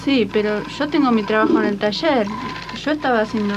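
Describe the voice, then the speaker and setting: A woman acts a hardworking Argentine seamstress in an old radio play, her voice high and hesitant. She stays deferential while she protests, worried for her job, on a dull tape with clear noise. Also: fairly quick, lightly acted. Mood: timid protesting. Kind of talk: 1980s educational radio drama acting. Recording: dull, noisy 1980s archive radio tape.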